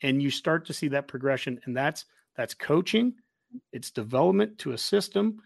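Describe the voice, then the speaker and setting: A man's voice: mid-range voice, lively intonation, moderate pace, gravelly, no echo, studio quality. A man in casual sports chat, making his points emphatically and anecdotally as a fan show host.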